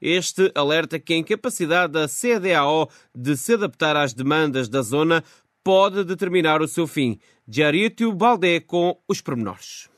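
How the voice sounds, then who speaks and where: A man's voice: a little high, lively intonation, a little slow, fairly bright tone, no echo, clean studio sound. A man presenting a scripted morning news programme on the radio. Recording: music bed under voice. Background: music.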